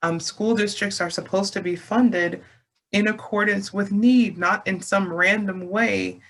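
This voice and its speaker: Slow, measured man in an online meeting. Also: sits high, fairly even intonation, vocal fry, a bit bright, rough recording. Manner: slow and measured, "um" and "you know" fillers, emphatic on key points. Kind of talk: speaking at an online community meeting. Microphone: video call.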